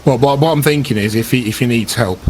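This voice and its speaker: A man's voice: medium pitch, fast talker, slight background noise. A man playing a tabletop game, talking through his plans casually. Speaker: player.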